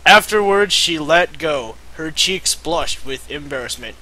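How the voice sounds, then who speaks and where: A man's voice: on the high side, expressive, measured pace, bright, forceful tone, low-quality recording. A young man giving a mocking, theatrical reading of amateur fiction, often breaking off for joking asides. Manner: theatrical, breaks off to joke and giggle. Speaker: amateur reader of fan fiction.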